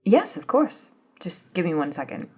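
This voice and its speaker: A woman playing an eager, polite female office and lab assistant in a soft-spoken ASMR roleplay, with a breathy, murmuring, slightly hesitant delivery. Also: lowish voice, sing-song, moderate pace, slight background noise. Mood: polite, helpful. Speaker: voice actor in scripted ASMR roleplay.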